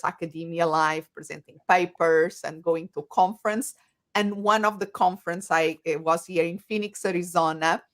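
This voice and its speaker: A woman's voice: low-pitched, fairly bright tone. A middle-aged woman from Portugal with a Portuguese accent, speaking as an interview guest, earnest, with fillers and rephrasing. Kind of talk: casual interview talk.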